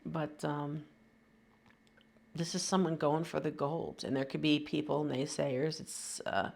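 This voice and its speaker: A woman's voice: low-pitched, a little slow, creaky, a little mellow. A woman with a cold, sounding sniffly, giving a casual, rambling tarot reading full of slang and fillers. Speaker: tarot reader.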